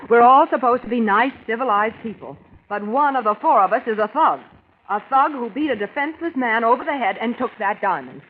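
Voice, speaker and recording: A woman's voice: a little high, lively intonation, brisk, natural voice. An actress playing a frank, level-headed young lady under suspicion, direct and matter-of-fact, in 1940s radio style. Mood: frank, firm. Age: young adult. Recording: scratchy, muffled 1940s radio recording.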